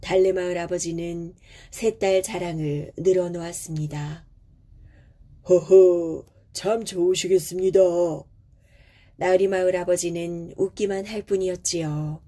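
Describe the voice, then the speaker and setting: A woman storyteller reading a children's fairy tale in a gentle, measured way. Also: on the low side, fairly even intonation.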